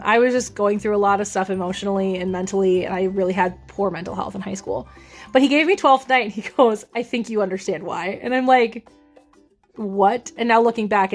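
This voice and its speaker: Chatty young woman with a deep voice. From Minnesota, USA. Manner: rambling, chatty, many ums and self-corrections. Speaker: vlogger. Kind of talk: casual chat.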